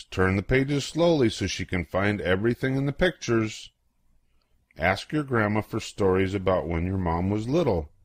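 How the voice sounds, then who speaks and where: An older man with a fairly low voice reads a picture book aloud to young children. His delivery is playful and expressive, with big swoops in pitch and a slow, unhurried pace. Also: dry recording. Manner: playful, acts out exclamations and questions.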